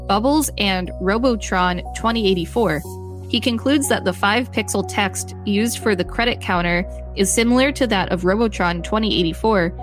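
A woman co-hosting a podcast, narrating a scripted encyclopedia-style article aloud. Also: medium pitch.

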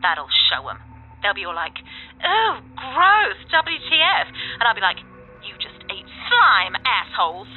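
A woman's voice: a little high, wildly swooping pitch, measured pace, husky, soft background music, exaggerated voice. A woman playing a lonely, resentful, petty department boss on a filtered audio log in a sci-fi drama. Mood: spiteful glee. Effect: lo-fi recorder filter. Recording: tinny phone-like audio log.

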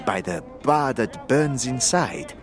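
Man voicing male pacifist warrior monk, stiff formal speech, lively intonation. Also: medium pitch, takes it slowly, soft background music, some chatter behind. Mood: solemn. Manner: stiff and formal, calls himself "this one". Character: male warrior monk bound by a vow of peace. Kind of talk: tabletop audio drama.

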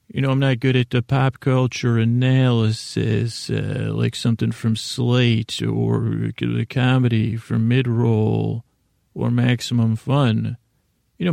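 A man hosting a podcast in a meandering, halting, self-deprecating comedic monologue, with a gravelly, creaky voice. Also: mid-range voice, darkish tone, no room sound, studio quality. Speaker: podcast host.

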